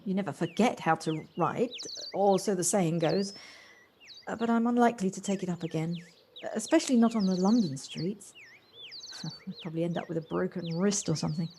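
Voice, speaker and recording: A woman's voice: mid-range voice, expressive, moderate pace, warm tone, slight background noise, lightly acted. An actress playing a polite, flustered, self-doubting city woman in an audio play, hesitant and apologetic as she talks. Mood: bashful, polite.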